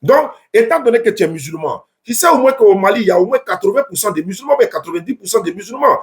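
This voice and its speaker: A man preaches earnestly to callers on a live stream. His voice sits high and keeps circling back on itself in stumbling restarts. He sounds like he's picked up on a phone setup. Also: animated, fast.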